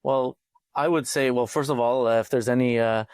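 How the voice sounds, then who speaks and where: A man from Montreal, talking thoughtfully as a podcast guest, with many pauses and "uh". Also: mid-range voice, fairly even intonation, a little mellow, smooth, dry recording. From Montreal, Canada. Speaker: financial coach, podcast guest. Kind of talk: casual interview talk.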